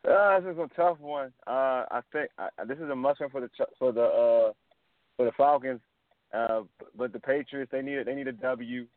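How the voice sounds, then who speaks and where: Laid-back male sports co-host, phone-thin sound. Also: mid-range voice. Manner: laid-back, laughs often, drawn-out fillers. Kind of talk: casual radio sports talk. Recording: dry, tinny phone-like sound.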